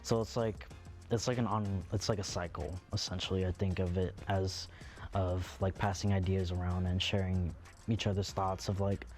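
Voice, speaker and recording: Lowish-voiced young man, halting speech. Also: flat delivery, darkish tone, soft background music. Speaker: older mentor to students. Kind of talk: casual interview answers.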